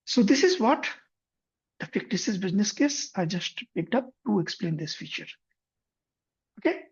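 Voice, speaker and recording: A man teaching a software tutorial, walking through an example methodically and often correcting himself mid-sentence. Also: sits high, big pitch swings, normal speed, creaky, breathy edge. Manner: methodical, step-by-step, frequent self-corrections. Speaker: software instructor. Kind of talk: screen-share tutorial explanation. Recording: dull lo-fi recording.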